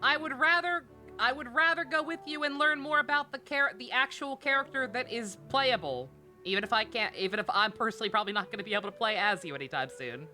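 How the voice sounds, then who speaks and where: A woman's voice: fairly high pitch, fast, sharp tone. A woman streaming a game live, giving casual commentary in a sarcastic, profanity-laced way. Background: game music.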